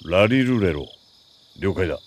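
Very deep, gruff secret agent. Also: male voice, animated, measured pace. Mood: dry, stoic. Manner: terse, gruff, stoic. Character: gruff, terse male special forces agent, wary soldier. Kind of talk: dramatic video game voice acting.

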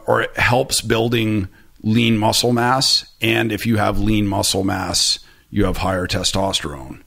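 A man in his forties speaks as a podcast guest in a very low, gravelly, husky voice, close on a studio mic. He rambles candidly, piling up fillers and restarts and poking fun at himself. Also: a bit bright. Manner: rambling, self-deprecating, many fillers and restarts. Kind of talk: candid conversational interview. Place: small room with bright orange shelves. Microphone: boom-arm studio mic close to mouth.

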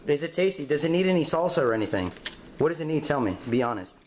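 A man's voice: fairly high pitch, very animated, quick pace, darkish tone, roomy sound, a little hiss or hum. A young man filming himself cooking in a home kitchen, explaining casually and swearing with cocky confidence. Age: young adult. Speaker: home cook vlogger. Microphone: handheld camera nearby.

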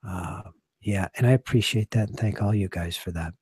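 A hoarse man in his forties, a game master losing his voice, chatting casually and earnestly on a live stream from a small home study into a desk microphone. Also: on the low side, normal speed, no room sound. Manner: rambling, earnest, trailing off quietly. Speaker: game master and channel host.

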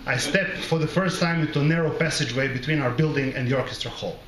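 A middle-aged man from the former Yugoslavia reading his literary short story aloud at a microphone, in a measured, deliberate and wry way. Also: a little high, a crowd in the background. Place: small bar with brick wall, indoors. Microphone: close microphone on a stand. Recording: roomy live recording, background noise.

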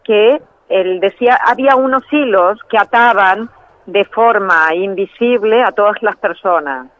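A woman guest calling in by telephone, explaining ideas in a measured way and sometimes correcting herself mid-phrase. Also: mid-range voice, dry recording. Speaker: phone-in guest, trainer in biological decoding. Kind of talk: explanatory interview answers. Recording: tinny phone line.

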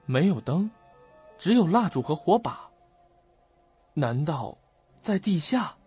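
An actor in an audio drama playing an earnest, puzzled young student who questions and muses to himself. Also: male voice, a little high, big pitch swings, slow pace, warm tone, breathy edge, faint music behind, exaggerated voice. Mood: puzzled, probing. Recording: muffled lo-fi audio.

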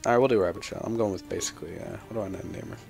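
A man's voice: sits low, rapid-fire, gravelly, darkish tone, faint music behind. A young man giving casual gameplay commentary in a sweary, irreverent, rambling manner. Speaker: gamer, let's player.

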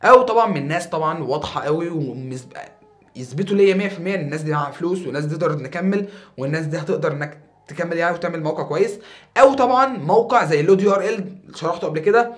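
A young man in his twenties with an Egyptian Arabic accent, talking casually to camera as a YouTuber in a small foam-paneled room, animated and a bit tired. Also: on the high side, racing. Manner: animated, gestures a lot with his hands.

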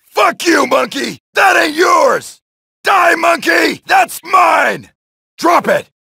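An actor playing a brash, wisecracking male soldier who shouts taunts and one-liners while killing zombies in a video game, with a gravelly voice. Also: extremely high pitch, big pitch swings, takes it slowly, no echo, full character voice. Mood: taunting. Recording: dry studio voice lines.

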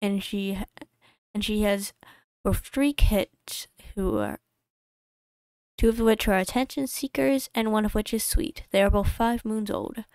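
A woman's voice: medium pitch, fairly even intonation, darkish tone, smooth, no echo. A woman narrating her game playthrough in a casual voice-over from a home desk mic, rambling and correcting herself as she goes. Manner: rambling, self-correcting, quick asides. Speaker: YouTube creator.

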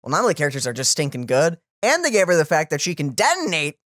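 A young man giving casual reaction commentary, animated and often searching for words. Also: a little high, fast talker, no echo, clean studio sound. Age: young adult. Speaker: reaction channel host. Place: small room at home. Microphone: boom-arm microphone, close.

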